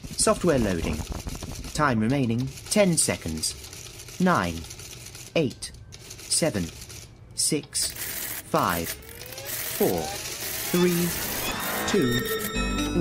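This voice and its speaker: An actor playing an aloof thinking machine in a radio drama, measured, precise and mocking. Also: male voice, mid-range voice, background noise. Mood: flat, procedural. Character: sentient thinking machine, aloof, taunting, coolly logical.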